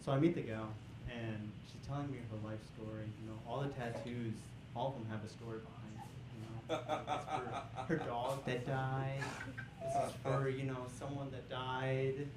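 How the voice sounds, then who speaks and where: A young man works a comedy club stage with a handheld mic. He riles up the crowd, then slides into low, deadpan storytelling. The sound rings around a roomy space with steady audience noise underneath. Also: normal speed. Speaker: stand-up comedian. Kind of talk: stand-up comedy set.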